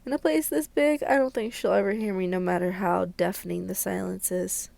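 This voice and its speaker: A woman's voice: mid-range voice, steady tone, a little fast, a little mellow. A woman doing a let's play, expressively reading visual novel narration aloud with stumbles and casual asides. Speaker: video creator playing a visual novel. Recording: clearly noisy recording.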